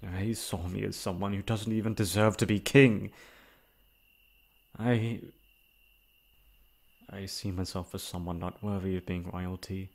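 A man playing a remorseful, self-loathing young prince in a formal, halting audio roleplay monologue. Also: low-pitched, fairly even intonation, creaky, darkish tone. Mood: ashamed, self-loathing. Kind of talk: dramatic ASMR roleplay monologue.

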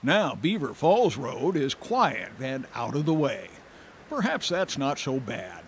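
A man's voice: medium pitch, big pitch swings, slow, background noise. A middle-aged man hosting a travel show, narrating in an upbeat, folksy way, sometimes on camera outdoors. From Oregon. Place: outdoors in woods and by a roadside.

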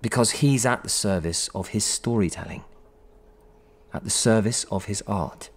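An actor playing a devoted, earnest film director's assistant in a radio drama, reflective and halting. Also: male voice, fairly low pitch, natural voice. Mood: earnest devotion. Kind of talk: naturalistic radio drama monologue and dialogue.

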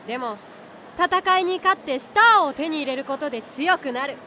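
A woman's voice: sits high, lively intonation, normal speed, sharp tone, a little hiss or hum. A woman voicing a small boyish unicorn guardian beast in an anime-style voice drama, speaking as a composed, knowing mentor. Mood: calm, encouraging.

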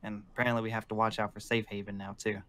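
A man's voice: on the low side, little pitch movement, racing, bright, forceful tone. A man playing a skeptical, independent survivor in a casual improvised zombie roleplay, talking in a dismissive, everyday way. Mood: casual, organizing. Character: skeptical, independent male leader of a survivor gang.

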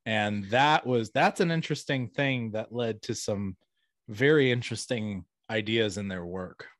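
A man's voice: fairly low pitch, measured pace, fairly bright tone, no echo. Middle-aged man chatting, close dry mic. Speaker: podcast host and storyteller. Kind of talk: casual conversational interview. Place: small home room, video call. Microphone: close studio mic on boom arm.

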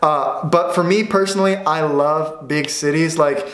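A man's voice: fairly high pitch, measured pace, fairly bright tone. A young American man hosting a casual vlog Q&A from a small room at home, upbeat and chatty, gesturing as he talks. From United States. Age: young adult. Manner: upbeat, chatty, gestures a lot with his hands. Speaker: vlogger. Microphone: camera in front, head and shoulders framing. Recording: roomy echo.

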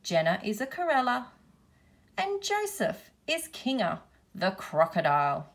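A woman reads a children's picture book aloud. Her pace is slow, with careful, deliberate phrasing. The voice sits at an average female pitch. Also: huge pitch swings. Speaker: storybook reader, teacher.

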